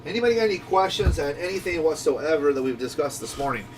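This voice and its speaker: A man's voice: fairly high pitch, animated, fast. Easygoing man, noisy distant recording. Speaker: radio shop owner hosting a walkie-talkie group channel. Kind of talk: casual instructional chat over radio.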